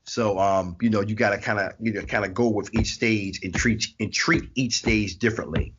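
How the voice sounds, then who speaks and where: A man in his forties chatting as an interview guest, relaxed, laughing often and repeating his phrases. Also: on the low side, normal speed, slightly rough, lo-fi sound. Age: 40s. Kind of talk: casual interview chat.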